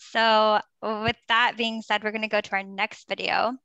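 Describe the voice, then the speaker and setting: A woman hosting a live online panel, introducing speakers and videos in a friendly, brisk way. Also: mid-range voice, fairly even intonation, a bit bright, smooth, dry recording, muffled recording. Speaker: event host.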